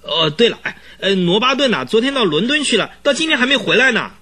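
An actor playing an agitated, talkative male client who recounts eerie events in a halting, rambling way in a radio drama, with a husky voice. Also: high-pitched, big pitch swings, pushed and bright, exaggerated voice. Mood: eager, uneasy. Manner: rambling, halting, full of interjections. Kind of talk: Chinese radio drama acting.

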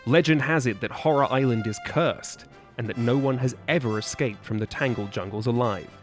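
A man's voice: medium pitch, normal speed, soft background music. A man reading scripted adventure copy aloud in a dramatic storytelling style. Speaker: podcast guest reading aloud.

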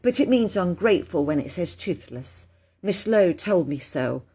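A woman's voice: fairly low pitch, expressive, normal speed, dark tone, breathy edge. A woman voicing an earnest, indignant little girl who muddles her big words in a children's storybook.

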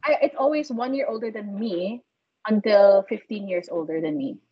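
A woman's voice: medium pitch, expressive, moderate pace, husky. A Filipino woman in her twenties with a Filipino accent, chatting casually, laughing often and filling her talk with "like". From Philippines. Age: 20s. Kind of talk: casual chat. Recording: dull lo-fi sound.

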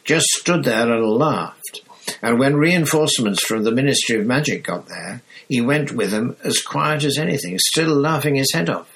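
A man voicing an excitable, gossipy young bus conductor who chatters in slang. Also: mid-range voice, moderate pace, voice pushed up, natural voice, poor audio.

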